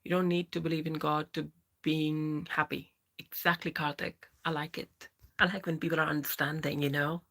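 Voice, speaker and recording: Woman Pakistani-accented livestreamer, bright and forceful. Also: low-pitched, little pitch movement, normal speed. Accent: Pakistani English. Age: 30s. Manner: chatty, answers viewers by name. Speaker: livestream host. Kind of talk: casual live chat reading viewer comments.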